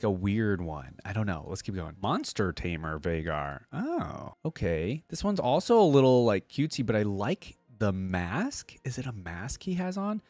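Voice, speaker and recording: A man's voice: fairly low pitch, a little mellow, no room sound. Chatty young man, dry close-miked sound. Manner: chatty, informal, thinking aloud. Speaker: reaction video host. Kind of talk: casual reaction commentary. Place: indoor room at a desk. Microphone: close desk microphone on boom arm.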